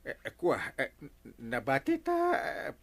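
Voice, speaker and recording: A man's voice: fairly high pitch, wildly swooping pitch, a little slow, creaky, exaggerated voice. A man playing a flustered, blustering village captain in an Ilocano radio comedy, with a stammering, hesitant delivery and an Ilocano accent. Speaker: comedy drama actor. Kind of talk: Philippine radio comedy drama acting.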